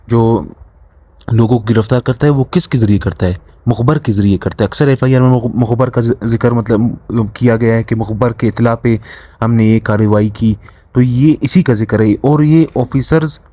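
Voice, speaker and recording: A young man teaches in an explanatory way, reading passages aloud and paraphrasing them, often halting and restarting his phrases. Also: mid-range voice, dark tone, no echo. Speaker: law lecturer.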